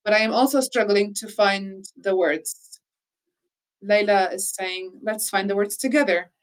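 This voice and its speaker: Earnest woman speaking in measured phrases. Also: medium pitch, steady tone, rough recording. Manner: measured, earnest, with frequent restarts. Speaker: interviewee on healing practice. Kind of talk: reflective conversational talk.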